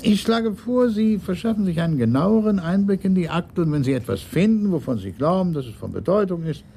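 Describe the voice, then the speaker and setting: An actor playing a stuffy, dismissive police chief, a titled London gentleman, pompous and condescending, in a radio crime play. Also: high voice, expressive, a little fast, vocal fry, a little hiss or hum. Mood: dismissive, measured.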